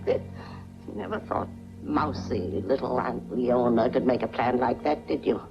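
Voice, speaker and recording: An actress playing a mousy older aunt who is a coldly menacing murderess, speaking deliberately in 1940s film style. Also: female voice, rumbling low, vocal fry, music in the background, heavily acted. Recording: dull 1940s film soundtrack from VHS. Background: prominent film score.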